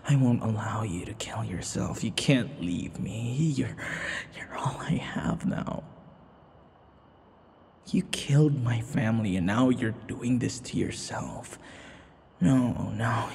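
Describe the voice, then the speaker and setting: A man playing an obsessive, menacing, grieving vampire in an ASMR roleplay, breathy and halting, repeating his words. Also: lowish voice, a little mellow, big-room sound, a little hiss or hum. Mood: desperate pleading. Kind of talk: ASMR roleplay audio drama.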